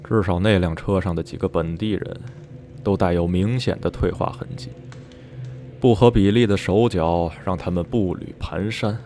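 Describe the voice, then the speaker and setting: A man playing an uneasy survivor of a sinister town, recounting dreadful memories in measured, literary Mandarin for a radio drama. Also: low voice, mellow. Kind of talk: radio drama acting, narrating in interview. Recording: music behind the voice.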